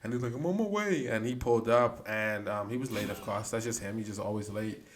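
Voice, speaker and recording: A man's voice: fairly low pitch, a little fast. Laid-back Bahamian man telling stories. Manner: laid-back storytelling, trails off and restarts. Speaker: podcast co-host. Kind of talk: casual chat.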